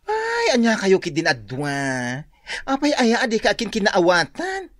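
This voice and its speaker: A woman's voice: mid-range voice, huge pitch swings, measured pace, no room sound. A woman with an Ilocano accent playing a gossipy, placating beautician in a radio drama, exclamatory and theatrical.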